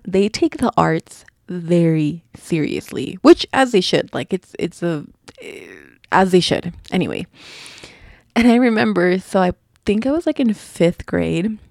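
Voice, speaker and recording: A woman's voice: fairly low pitch, a little mellow, no room sound, clean studio sound. A young woman from Utah hosting her own podcast, talking casually with a slight lisp, rambling with many ums and restarts. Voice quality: slight lisp on fast S sounds. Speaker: podcast host. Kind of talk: casual solo podcast chat.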